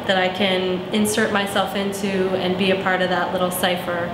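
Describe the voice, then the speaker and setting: A thoughtful young woman speaking in an interview, pausing to find her words. Her voice sounds roomy and echoey, with steady background noise in the recording. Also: on the low side. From Arizona, USA. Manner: thoughtful, searching for words with many ums. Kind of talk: reflective personal interview.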